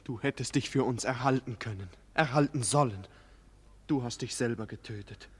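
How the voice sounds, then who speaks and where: A man's voice: mid-range voice, moderate pace, slightly rough, natural voice. An actor playing a tender, impassioned young nobleman in despair, declaiming emotionally in a 1940s German radio play. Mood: helpless grief. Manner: emotional, declamatory, bursting from subdued grief into loud outcries. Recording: vintage 1940s radio studio recording.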